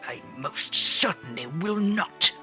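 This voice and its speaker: A man's voice: fairly high pitch, animated, slow, sharp tone, soft background music, exaggerated voice. A woman voicing a cold, miserly, grumpy old man with a curt, scornful manner in a storybook reading.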